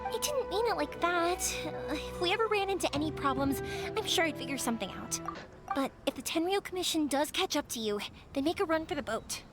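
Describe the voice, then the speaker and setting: A bright, chatty young fireworks maker; kind, upbeat, bouncy high voice. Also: female voice, fairly quick. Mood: cheerful. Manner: lively, chatty storytelling. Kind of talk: game character dialogue. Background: game music.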